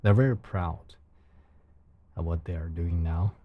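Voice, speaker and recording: A man's voice: low-pitched, unhurried, narrow, tinny audio. A man from China with a Chinese accent and a soothing voice, chatting casually with viewers on his livestream in careful, searching second-language phrasing. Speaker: live streamer.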